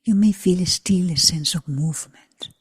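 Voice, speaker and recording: A woman guides a group meditation in a low, very husky and breathy voice that hovers near a whisper. She moves slowly, leaving long silences between short phrases. The sound is close and dry. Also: no echo. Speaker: meditation teacher.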